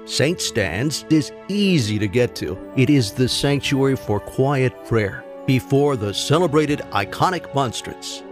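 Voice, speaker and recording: A man reading a scripted church radio ad as an announcer, smooth and promotional. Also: medium pitch.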